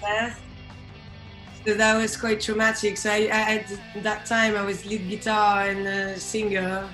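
A woman's voice: medium pitch, monotone, unhurried, a bit bright. A young woman from France with a French accent, chatting casually as an interviewed singer on a video call. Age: young adult. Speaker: band singer being interviewed. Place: indoor room by window, video call. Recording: roomy echo, music behind.

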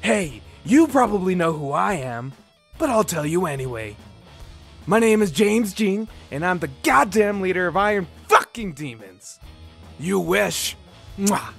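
A high-pitched, gravel-edged gang boss acted by a man in a character demo. He swaggers and threatens with raspy cockiness, his pitch swinging widely. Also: very animated, a little slow, faint music behind, heavily acted. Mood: cocky swagger. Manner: cocky, swearing, theatrical. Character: brash, swaggering male biker gang leader, menacing and foul-mouthed.

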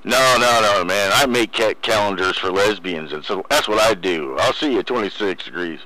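A man's voice: on the low side, fairly quick, sharp tone, no echo, poor audio. A man bantering casually in slang as a CB radio operator, heard over the radio. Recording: CB radio transmission.

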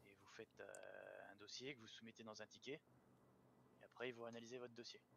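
A man's voice: lowish voice, flat delivery, brisk, gravelly, a little hiss or hum. A man playing a financial officer in a roleplay game, explaining procedure patiently and methodically. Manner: patient, methodical, many fillers, drops to quiet asides.

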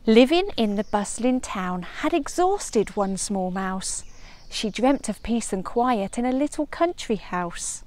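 Slow, higher-voiced woman reading a storybook. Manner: expressive, measured storytelling. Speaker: early years teacher. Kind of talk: children's storybook read-aloud. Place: outdoors in a leafy woodland garden.

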